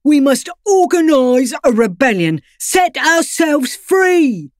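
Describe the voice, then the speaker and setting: A woman's voice: sits high, sing-song, slow pace, no echo, studio quality, pitched above the performer's own voice, exaggerated voice. Woman voicing a scornful, rebellious male dog. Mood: rousing. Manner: scornful, defiant, speechifying.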